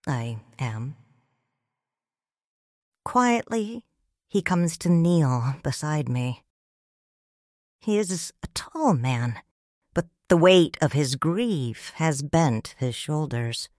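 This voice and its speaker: A woman narrating in first person as a grieving widowed noble mother in a fan audio drama, quiet and restrained. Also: sits low, very animated, no echo, clean studio sound, exaggerated voice. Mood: sorrowful. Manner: slow, hushed storytelling.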